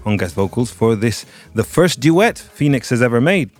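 A man's voice: medium pitch, very animated, moderate pace, a little mellow, dry recording. A man presenting a radio music show, reading scripted links with wry, dry asides. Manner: wry, dryly joking asides.

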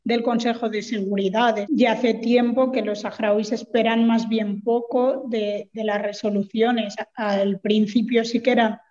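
A woman giving explanatory answers as a political interview guest, speaking in a measured, hesitant way with formal wording. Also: on the high side, level tone, warm tone. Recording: reverberant hall, muffled sound.

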